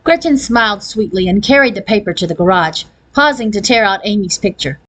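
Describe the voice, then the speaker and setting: A woman's voice: medium pitch, animated, brisk, a bit bright, rough recording. A woman narrating a children's novel as a read-aloud, following a written script. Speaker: audiobook reader.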